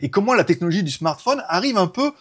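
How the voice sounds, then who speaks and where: A man with a husky voice, chatting informally and ramblingly as host of a tech show. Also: sits high, very animated, fast talker. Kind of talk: casual tech chat.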